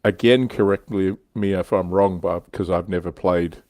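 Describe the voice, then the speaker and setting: A man's voice: low voice, steady tone, normal speed, a little mellow, no echo. A man hosting a casual hobby livestream, telling stories in an explanatory way with some swearing. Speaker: livestream host.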